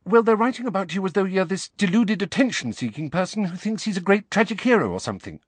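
A man reading an audiobook, voicing an anxious, clever teenage girl who explains things rapidly and earnestly. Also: fairly high pitch, very animated, dry recording, pitched above the performer's own voice. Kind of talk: audiobook character voice.

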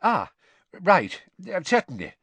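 A man voicing an anxious, cheerful middle-aged wizard father who chirps brightly and stammers when flustered. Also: high-pitched, wildly swooping pitch, takes it slowly, slightly rough, no room sound, pitched above the performer's own voice, exaggerated voice. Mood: bright eager.

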